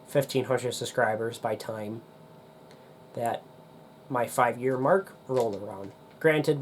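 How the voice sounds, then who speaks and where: Young male vlogger, nasal and congested, mid-pitched, casual rambling delivery. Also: a little hiss or hum. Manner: rambling, hesitant with many ums. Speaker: demolition derby YouTuber. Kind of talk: casual chat to camera.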